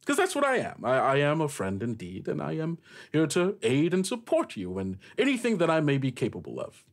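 A man's voice: on the high side, huge pitch swings, a little fast. A man voicing a friendly, flustered talking magic book that rambles familiarly in improvised tabletop roleplay. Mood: friendly, reassuring.

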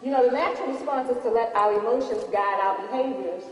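Female actor as devout patient woman, instructive, stage drama, high voice, very echoey. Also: fast talker, close to an everyday voice. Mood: patient teaching. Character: patient, devout woman gently teaching spiritual lessons. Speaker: stage actress. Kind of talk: stage play acting. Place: large theater stage. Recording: echoey hall, distant mic, faint noise.

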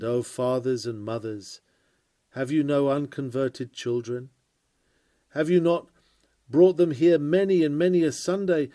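Male sermon reader, fairly high and mellow, earnest oratorical delivery, dry close sound. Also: no room sound. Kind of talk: dramatic reading of a 19th-century sermon.

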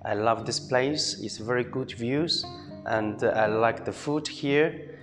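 A man from China giving casual interview answers as a language student, pausing with fillers. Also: medium pitch, a bit bright, in a large hall, faint music behind.

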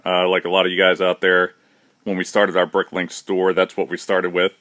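Deep-voiced man touring a warehouse. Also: moderate pace, dry recording. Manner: relaxed, matter-of-fact, with fillers and restarts. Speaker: shop owner giving a warehouse tour. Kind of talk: casual walkthrough narration. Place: large indoor warehouse with shelving. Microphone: handheld phone camera, speaker behind it.

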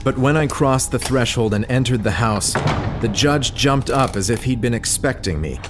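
An actor playing an earnest, uneasy man who tells his ordeal in measured, literary audio drama narration. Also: mid-range voice, lively intonation, close to an everyday voice. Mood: grave, uneasy. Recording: music behind the voice.

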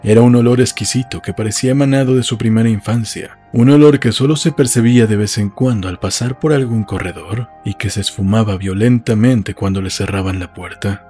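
A man's voice: on the low side, fairly quick, few pauses, dark tone. A man narrating a novel as a scripted literary audiobook. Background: faint music.

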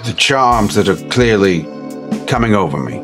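A man's voice: on the low side, lively intonation, moderate pace, music in the background. A man playing a tabletop role-playing game, casually stating what his character does.

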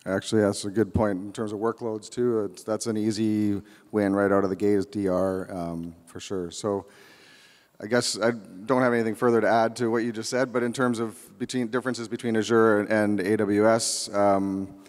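A man answering questions on a conference panel, measured and hedging with fillers. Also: fairly low pitch, little pitch movement, darkish tone. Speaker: panelist, cloud business owner. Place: large conference hall stage. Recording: long hall reverb.

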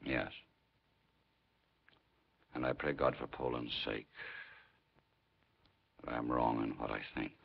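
Middle-aged resistance leader, low creaky voice, intense, wary, brooding, 1950s film drama, muffled soundtrack. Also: male voice, steady tone, slow, pauses often, background noise, heavily acted. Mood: solemn. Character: grave, wary Polish underground leader held prisoner.

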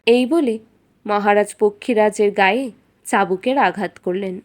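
A woman's voice: mid-range voice, a little slow. A woman reading a scripted fairy tale as the storyteller of a cartoon.

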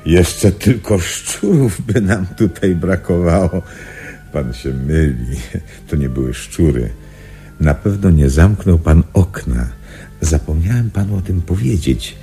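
An actor playing a calm sanatorium doctor in a Polish radio drama, explaining things in a measured, reassuring way. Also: male voice, deep voice, soft background music, full character voice.

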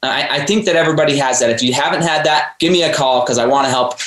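A man's voice: a little high, quick pace, fairly bright tone, reverberant, a little hiss or hum. Earnest motivational male podcast host. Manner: earnest, preachy, addresses listeners directly. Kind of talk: motivational interview talk.

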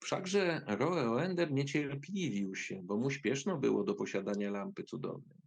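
Creaky-voiced male fairy-tale narrator. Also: mid-range voice, lively intonation, measured pace. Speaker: narrator in an audio drama.

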